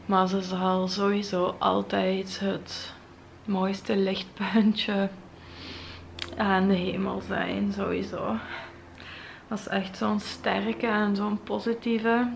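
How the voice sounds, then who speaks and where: A young woman with a Flemish accent, talking to camera in a vlog after crying, her voice soft, breathy and trailing into whispers. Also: mid-range voice, flat delivery, slow pace, slight background noise. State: tearful, voice heavy from crying. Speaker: vlogger. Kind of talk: emotional vlog monologue to camera. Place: bright modern home interior. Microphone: camera at close range.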